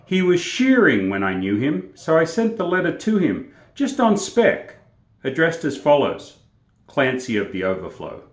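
A man's voice: on the high side, huge pitch swings, unhurried. An Australian man in his sixties hosting a storytelling show, reciting and chatting to camera. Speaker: host and storyteller. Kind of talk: poetry recitation and casual talk to camera. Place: small indoor room with plain walls, echoey. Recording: echoey indoor room.

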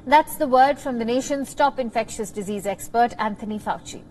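A woman's voice: a little high, moderate pace, a little mellow, low-quality recording. Woman reading news over noise. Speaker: news presenter. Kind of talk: scripted news narration.

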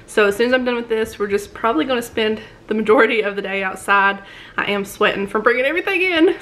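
A woman's voice: fairly high pitch, a bit bright. A woman, chatting casually through a grocery haul at home, rambling and laughing often. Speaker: family vlogger. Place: home dining room, indoors.

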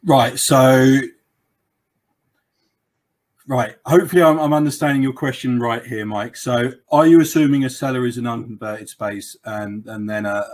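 A man's voice: mid-range voice, fairly even intonation, normal speed. A man answering audience questions as the expert guest on a webinar video call, in a rambling, informal way full of "you know".

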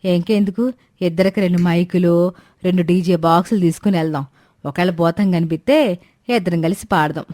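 A woman's voice: fairly low pitch, a little fast, mellow, no room sound, clean studio sound, natural voice. A woman voicing a sarcastic, wary older village mother-in-law in a cartoon story, scolding in rustic colloquial speech. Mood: wry. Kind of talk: animated Telugu moral story dialogue.

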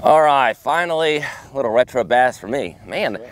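A man's voice: mid-range voice, lively intonation, normal speed. A man hosting a casual fishing vlog from an open boat, talking with exclamations and self-deprecating banter. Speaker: fishing show host. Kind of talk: casual chat while fishing, excitable. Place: small open boat on a lake, outdoors. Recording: outdoor noise on an open boat.

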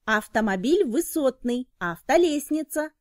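A woman with a fairly high voice narrates an educational cartoon for children. She explains things in short, neatly pronounced phrases. There is clear noise in the recording, with some clicks. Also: animated, measured pace.

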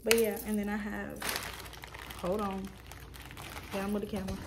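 A young woman talks straight to her camera in a small room at home, vlogging in a loose, chatty way. She wanders off track and corrects herself often. Her pitch sits in the middle, and steady background noise runs under the recording. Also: normal speed. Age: young adult. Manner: rambling, self-correcting, chatty with viewers. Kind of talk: casual chat to camera.

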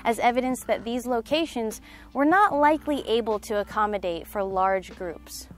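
A young woman presenting scripted documentary narration in a measured, explanatory manner. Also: a little high. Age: young adult.

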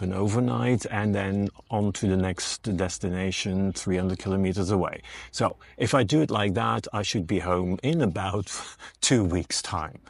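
A man's voice: on the low side, gravelly, no room sound. A man in his fifties talking casually to the camera outdoors as a van life vlogger, in a soothing, reflective, chatty way. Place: outdoors by a parked van and a beach shelter.

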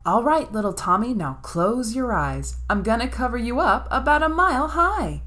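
A woman voicing an excited, bossy big-sister girl in a children's storybook, exclaiming and coaxing. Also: a little high, normal speed, voice pushed up. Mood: playfully bossy.

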